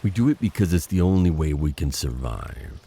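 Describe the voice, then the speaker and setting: Man playing a very deep, ruined-voiced siren. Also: very animated, moderate pace, mellow, exaggerated voice. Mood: grim, resentful. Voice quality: damaged, gravelly, husky voice. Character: vengeful wounded male siren, grimly mocking. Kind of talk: dramatic audio roleplay.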